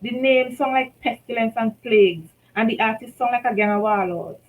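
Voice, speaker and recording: Woman, Vincentian accent, fairly high voice, author reading her story, rhythmic creole telling. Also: normal speed, rough recording. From St. Vincent and the Grenadines. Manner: rhythmic Creole storytelling with lively shifts in level. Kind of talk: reading a short story aloud.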